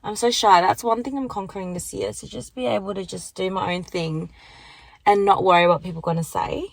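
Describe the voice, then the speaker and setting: A young woman with a croaky morning voice, chatting casually into a handheld phone at the beach. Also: medium pitch, steady tone, brisk, no room sound. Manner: chatty, rambling, lots of fillers. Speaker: vlogger. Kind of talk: casual chat vlog. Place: car at the beach, then walking outdoors.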